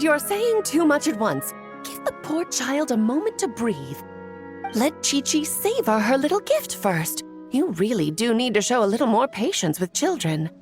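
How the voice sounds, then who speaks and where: A woman voicing a breezy, playful witch auntie in a video game, coaxing sweetly and theatrically as if to a child. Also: sits high. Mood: doting, breezy.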